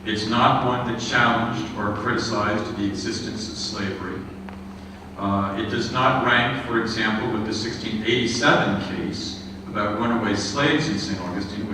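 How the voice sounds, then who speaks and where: A lower-voiced man lectures in a measured, earnest way, stopping often on filler sounds. He is picked up from a distance in a big echoing hall with a long tail of reverb. Steady noise hums behind him. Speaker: guest lecturer, historian. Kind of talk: public history lecture. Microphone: room microphone. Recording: echoey hall, distant mic, background noise.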